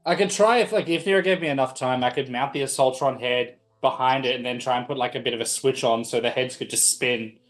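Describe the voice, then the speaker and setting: Man, average pitch, tabletop gamer, casual conversational delivery. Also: fast talker, hardly any pauses, soft background music. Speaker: tabletop game player. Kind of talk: casual game chat.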